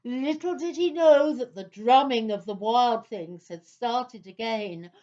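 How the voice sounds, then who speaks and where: A woman's voice: on the high side, unhurried, warm tone, lo-fi sound. A woman narrating a children's story in a theatrical, suspenseful style.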